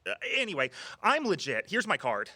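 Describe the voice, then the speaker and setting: A man guiding walking tours in an audio drama. His voice is bright and very lively in pitch. He comes across affable and flustered, in a close, dry recording. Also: brisk, dry recording, full character voice. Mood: flustered, apologetic. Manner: flustered, chatty, hesitant. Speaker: walking tour guide. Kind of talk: scripted audio drama dialogue.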